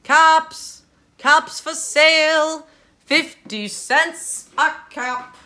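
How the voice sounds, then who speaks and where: A woman voicing a frustrated grown male cap peddler who scolds and shouts, in a storybook read-aloud. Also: high voice, fairly even intonation, slow pace, reverberant, pitched above the performer's own voice, full character voice. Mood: calling out. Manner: shouting, scolding, shaking fist.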